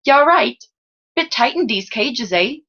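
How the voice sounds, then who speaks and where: A woman plays a cheeky street boy from a storybook, friendly and teasing. His speech is rough and colloquial, pitched up high, on a slightly dull recording. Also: lively intonation, normal speed, a bit bright, full character voice. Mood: friendly, concerned. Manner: colloquial, teasing, clipped rustic dialect. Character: cheeky lower-class boy captive, friendly and rough-spoken.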